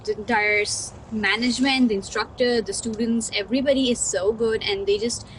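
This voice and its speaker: A woman from Pakistan with a fairly high voice gives an enthusiastic, casual student testimonial. Faint background noise sits under her voice. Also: fairly even intonation, normal speed, fairly bright tone, poor audio. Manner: enthusiastic, repeats herself. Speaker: international student.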